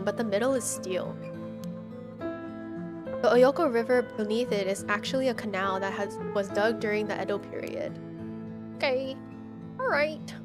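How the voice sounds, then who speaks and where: A woman livestreaming a game, reading its text aloud and reacting in casual slang. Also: medium pitch. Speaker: streamer playing a horror visual novel.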